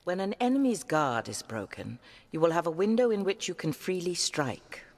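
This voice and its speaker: An actor as the tutorial narrator of a game, reading scripted instructions. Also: male voice, a little high, sing-song, moderate pace, long reverb tail. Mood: instructive.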